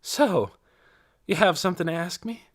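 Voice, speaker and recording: A man voicing a pious, intense older woman in an audiobook, deliberate and honeyed, sweetly controlling. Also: sits high, pitched above the performer's own voice. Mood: pleased, chatty. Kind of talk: audiobook character voice.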